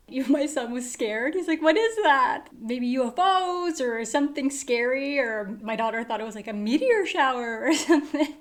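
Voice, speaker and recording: A woman on a book club podcast, hosting segments and telling a story in a lively, casual way with frequent laughs. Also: high-pitched, moderate pace.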